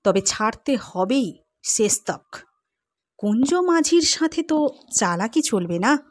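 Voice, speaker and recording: A boastful village exorcist who taunts and stretches his words, set a little high, as a woman reads him. The sound is dry and close. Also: big pitch swings, no echo. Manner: taunting, drawn-out.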